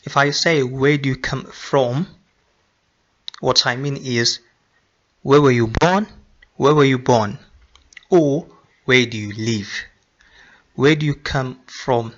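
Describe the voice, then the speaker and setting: A man teaching English grammar, repeating example sentences slowly with frequent ums. He is from Nigeria. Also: mid-range voice, fairly bright tone. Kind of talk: slow, deliberate grammar lesson. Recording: dull lo-fi sound.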